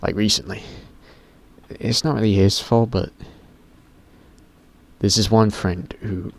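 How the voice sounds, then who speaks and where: A teenage boy with a very deep voice, rambling casually to camera in a vlog, often stopping himself mid-sentence and swearing offhandedly. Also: steady tone, takes it slowly, creaky. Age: teens. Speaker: YouTuber.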